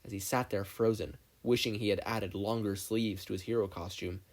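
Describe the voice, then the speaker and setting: A man's voice: lowish voice, fairly even intonation, moderate pace. A man reading scripted story narration as an audiobook narrator.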